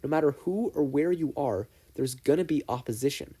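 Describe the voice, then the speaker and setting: A man voicing a stern but proud teacher in a fan fiction story, lecturing in a measured, stoic way. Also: mid-range voice, mellow, husky, pitched above the performer's own voice. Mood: stony, firm.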